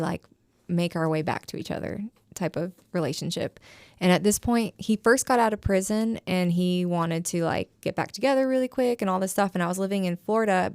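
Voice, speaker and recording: Podcast guest, woman in her 30s, candid and rambling, low-pitched with a smooth, dry sound. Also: darkish tone, dry recording. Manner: frank, rambling, heavy use of filler "like". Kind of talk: candid personal storytelling.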